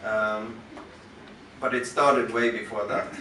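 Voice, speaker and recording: A Norwegian-accented man speaks from a stage. He talks slowly and deliberately, pausing and restarting as he thinks. The sound is caught from far off in a roomy venue, with faint noise around it. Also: on the low side, fairly even intonation, bright, forceful tone. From western Norway. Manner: halting and thoughtful, many pauses and restarts. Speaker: musician introducing his work on stage. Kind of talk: unscripted stage talk to a concert audience. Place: concert club venue. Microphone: stage microphone through the house speakers, recorded from the audience.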